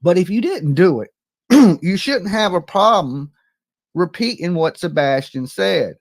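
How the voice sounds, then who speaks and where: A man with a somewhat high voice hosts a live call-in stream. He talks bluntly and confrontationally in colloquial, streetwise phrasing, and the sound is very dry. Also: big pitch swings, measured pace, no room sound. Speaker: live-stream host taking calls.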